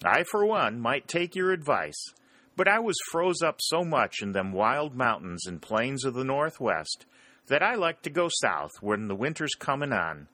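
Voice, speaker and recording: A man's voice: mid-range voice, sing-song, measured pace, bright, forceful tone, dry recording, voice pushed up, exaggerated voice. A man voicing an easygoing Northern frontier sergeant who talks in a dry, joking drawl. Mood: wry.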